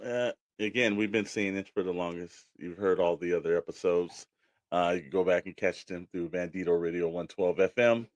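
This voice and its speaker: A man's voice: deep voice, steady tone, normal speed, dry recording, lo-fi sound. A man co-hosting a podcast, chatting informally and giving advice in a rambling, conversational way. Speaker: podcast co-host. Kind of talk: casual podcast chat.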